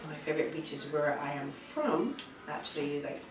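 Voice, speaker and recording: A woman's voice: deep voice, very animated, moderate pace, a little hiss or hum. Barbadian woman in a roomy, distant recording. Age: 50s. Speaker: interviewee. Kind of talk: casual documentary interview. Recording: roomy, distant microphone, music behind.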